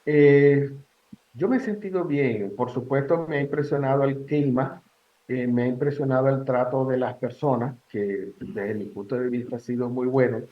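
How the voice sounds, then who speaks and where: A Venezuelan man in his sixties, a guest giving measured advice in a casual interview, with frequent "este" fillers. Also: medium pitch, steady tone, reverberant, muffled recording. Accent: Venezuelan Spanish. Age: 60s.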